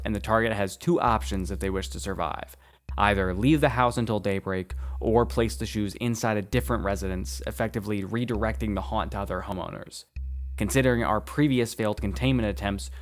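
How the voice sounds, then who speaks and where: A man's voice: fairly low pitch, lightly acted. An actor playing a nervous young male agent who stammers and hesitates through a report. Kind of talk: audio drama acting.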